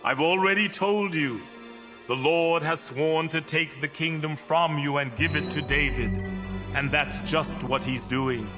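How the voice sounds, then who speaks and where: A man acting the stern ghost of an old prophet in a Bible drama, slow, solemn and condemning. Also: a little high, music in the background, lightly acted. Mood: grave, condemning.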